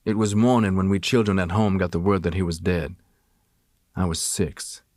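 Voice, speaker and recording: A man with a fairly low voice narrates a memoir in the first person, reading from a script as for an audiobook. The recording is dry and close, with very little room sound. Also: steady tone, moderate pace, darkish tone, dry recording. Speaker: audiobook reader.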